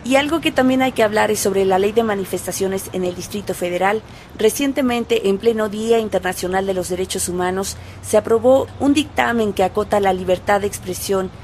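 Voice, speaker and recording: A woman's voice: medium pitch, a little mellow, slight background noise. Woman reading critical news commentary. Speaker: radio news presenter.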